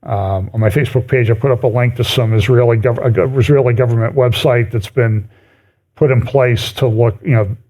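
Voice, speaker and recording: Halting, conversational man giving an informal church talk. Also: mid-range voice, steady tone, mellow. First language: English. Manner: halting, conversational, often breaks off mid-phrase. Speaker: speaker giving a prophecy update at a chapel. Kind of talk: informal church talk commenting on news articles.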